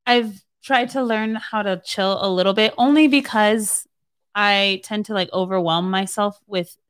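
Woman in her late twenties, chatty, filler-heavy, casual chat, mid-pitched. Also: fairly even intonation, unhurried, fairly bright tone, clear, resonant tone. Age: 20s. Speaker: guest singer-songwriter.

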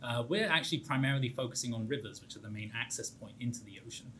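Man from the UK, startup co-founder, brisk steady explainer, faint rumble. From United Kingdom. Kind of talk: interview answers.

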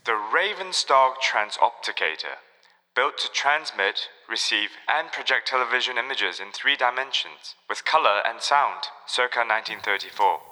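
An actor playing a cultured, wry retro enthusiast and kindly friend in an audio drama. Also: male voice, mid-range voice, animated, moderate pace, bright, forceful tone. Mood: enthusiastic, knowing. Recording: long reverberant hall-like echo.

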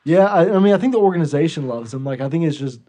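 Man, podcast co-host, laid-back, laughs a lot, slightly higher voice. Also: expressive, very fast, dark tone. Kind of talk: casual chat.

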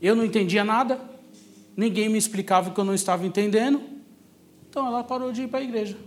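High-pitched São Paulo man preaching. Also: quick pace. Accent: Brazilian, São Paulo. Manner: conversational, emphatic, repeats key phrases for effect. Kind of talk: sermon with personal testimony.

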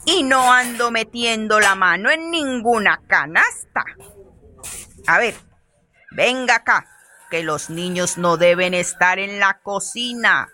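A woman playing a bossy but tender grandmother in a radio play, with a Colombian accent and a scolding, drawn-out way of talking. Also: medium pitch, expressive, sharp tone, slight background noise. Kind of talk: radio theatre acting.

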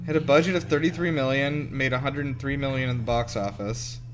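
A man's voice: medium pitch, steady tone, a little fast, faint music behind. A man reacting casually to a film in an incredulous, questioning manner. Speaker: host of a movie reaction show. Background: film soundtrack playing behind.

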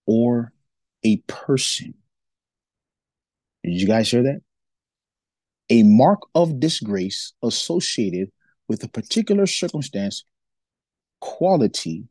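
A man from Nigeria co-hosting a faith-based podcast, talking in an animated, preachy way and acting out the voices he quotes. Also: medium pitch, no room sound. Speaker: podcast co-host.

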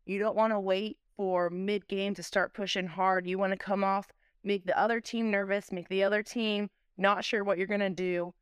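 Woman coach with very clear, smooth voice. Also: mid-range voice, level tone, normal speed, no room sound, studio quality. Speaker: sports coach being interviewed. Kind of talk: interview answers, motivational coach talk.

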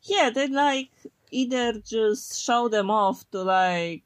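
Podcast co-host: woman, native Polish speaker, unhurried delivery, slangy and playful, close dry sound. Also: fairly high pitch, dry recording. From Poland. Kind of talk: casual unscripted chat.

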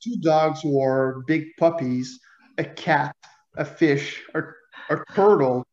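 A man's voice: fairly high pitch, slow. French-speaking man with echoey, dull sound. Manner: animated storyteller, uses analogies, frequent fillers. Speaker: author and guest. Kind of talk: conversational interview.

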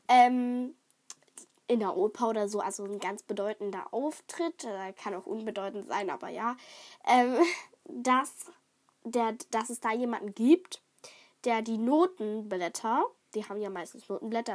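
Woman with a cold, chatty podcaster. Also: a little high. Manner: chatty, rambling with many fillers and restarts. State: has a cold, stuffy voice. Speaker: podcast host. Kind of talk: casual solo podcast chat.

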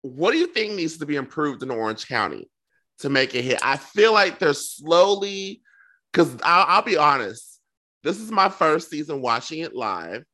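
Sassy man with a bright, forceful voice. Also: on the high side, big pitch swings, moderate pace. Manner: sassy, campy slang. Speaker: podcast co-host. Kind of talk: casual gossipy chat about reality television.

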